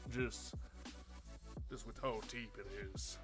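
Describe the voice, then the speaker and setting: A man putting on an exaggerated, theatrical deep voice as a self-mocking joke during a live stream. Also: fairly even intonation, slow pace, over music, natural voice. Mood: mock-grand. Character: mock-dramatic booming deep-voiced man. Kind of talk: joking aside on a live stream.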